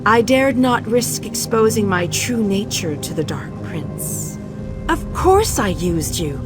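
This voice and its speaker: Ancient, coldly regal deity for a fantasy game: haughty, unhurried, commanding, over prominent music. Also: female voice, medium pitch, sing-song. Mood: cold, justifying. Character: imperious ancient Daedric goddess of light, coldly regal. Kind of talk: dramatic fantasy game dialogue. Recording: studio voice under prominent music.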